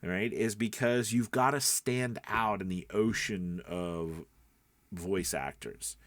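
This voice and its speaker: A man with a fairly low voice talks through a lesson like a coach chatting to beginners. He rambles conversationally and keeps checking in as he goes. The sound is dry and close, with very little room. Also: dry recording. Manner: conversational and rambling, often checking with "right?" and "you know". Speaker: voice-over coach and podcast host. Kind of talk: informal tutorial talk.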